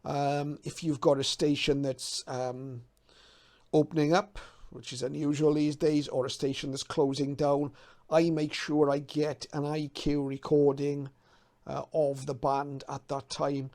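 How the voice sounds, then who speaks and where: A man's voice: fairly high pitch, little pitch movement, measured pace, darkish tone. A man in his fifties from the UK talking casually to camera as a hobby channel host, explaining things a little hesitantly as he thinks aloud. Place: small cluttered home radio room.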